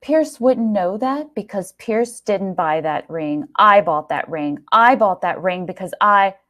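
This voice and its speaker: A woman's voice: mid-range voice, lively intonation, measured pace, a bit bright. A woman voicing a jilted boyfriend, sarcastic, accusing and indignant. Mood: bitter, accusing. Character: Gary, bitter jilted boyfriend, sneering and indignant.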